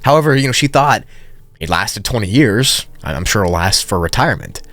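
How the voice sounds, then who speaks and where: A man's voice: fairly low pitch, animated, normal speed, fairly bright tone, no room sound, faint music behind. A man narrating a story recap in a casual, conversational way with informal asides.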